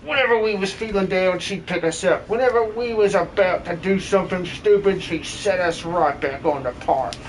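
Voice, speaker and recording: A man acts out a working-class miner from a comic video game dub. He is loyal, matey and protective, speaking in a high voice for a man, with slight background noise. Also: moderate pace, few pauses, close to an everyday voice, poor audio. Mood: earnest, warning.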